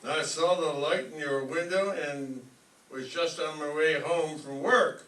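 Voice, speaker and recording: A man playing a boastful, swaggering, slangy lowlife boyfriend in an old-time radio comedy. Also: fairly even intonation, unhurried, a bit bright. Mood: breezy. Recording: echoey stage, distant microphone.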